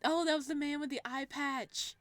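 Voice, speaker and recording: Excitable woman reading a visual novel. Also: high voice, normal speed. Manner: excitable, giggly, reacts to the story. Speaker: gaming channel host. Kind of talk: visual novel read-aloud with casual commentary.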